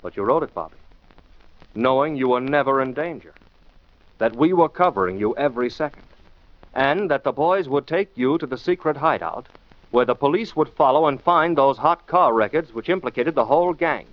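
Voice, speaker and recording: A man's voice: mid-range voice, expressive, moderate pace, dry recording, natural voice. A man acting a shrewd, scornful radio detective who lectures emphatically in rhetorical questions, in 1940s style. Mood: scornful, forceful. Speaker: detective lead and show host. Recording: muffled 1940s radio recording.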